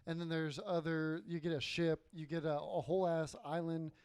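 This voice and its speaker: Male co-host, slightly high clear voice. Explains gaming matters informally, swears casually. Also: level tone, normal speed, darkish tone, no echo. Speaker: podcast co-host. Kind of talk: casual gaming podcast discussion.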